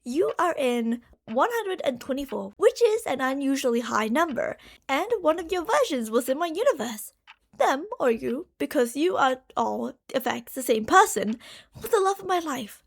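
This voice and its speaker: A woman acts out an obsessive female stalker who is madly in love. Her voice is high, and she speaks straight to the listener in an ASMR roleplay. She coaxes and explains fondly, leaning on words theatrically. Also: very animated, normal speed, vocal fry, full character voice. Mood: excited, devoted. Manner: coaxing, explanatory, fond pet names, theatrical emphasis. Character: lovestruck obsessive female stalker from a parallel universe.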